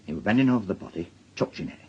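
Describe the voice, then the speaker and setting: Anxious, defensive Northern English husband. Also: male voice, low voice, lively intonation, rapid-fire, breathy edge, full character voice. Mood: subdued, recounting. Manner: hesitant, defensive, pleading. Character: anxious married man, a defensive reluctant murder witness. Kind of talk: naturalistic radio drama dialogue. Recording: dull lo-fi audio, faint noise.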